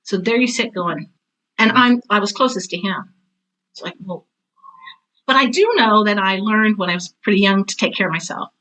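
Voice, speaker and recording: Bright-voiced, forthright American woman in her sixties. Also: medium pitch, moderate pace, poor audio. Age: 60s. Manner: blunt, forthright, anecdotal. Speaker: interview guest, drama teacher and author. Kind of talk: candid personal conversation.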